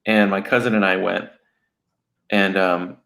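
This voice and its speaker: A man's voice: deep voice, fairly even intonation, measured pace, creaky, fairly bright tone. A man chatting as a guest in a casual interview, joking and telling stories with frequent laughter. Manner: joking, storytelling, laughs often. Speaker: guest animator.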